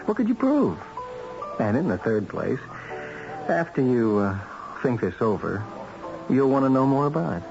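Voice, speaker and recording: An actor playing a coolly persuasive contract killer in a radio drama, measured and matter-of-fact. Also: male voice, medium pitch, sing-song, gravelly. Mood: calmly persuasive. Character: coolly persuasive contract killer, calm and calculating old friend. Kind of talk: 1970s radio drama acting. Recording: muffled old radio broadcast, music underneath.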